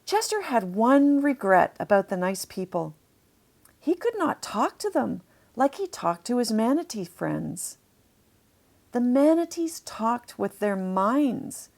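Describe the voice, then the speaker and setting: A woman narrating a children's storybook, deliberately explaining things to young listeners as she reads. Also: fairly high pitch, sing-song, creaky, darkish tone.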